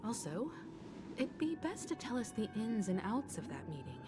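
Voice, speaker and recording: An actress playing a sensible, assertive navigator in a video game, polite but pointed and businesslike. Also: female voice, medium pitch, big pitch swings, moderate pace, warm tone, soft background music, natural voice. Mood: firm, tactful. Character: brisk, sensible, assertive female crew navigator.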